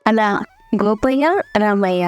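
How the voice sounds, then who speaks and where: A woman's voice: mid-range voice, unhurried, darkish tone, clean tone, soft background music. A woman narrator reading a scripted story.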